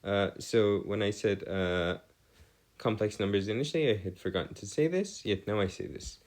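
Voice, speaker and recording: A man tutoring in an improvised explanatory lesson, with formal wording and halting, self-correcting delivery. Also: lowish voice. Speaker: math tutor.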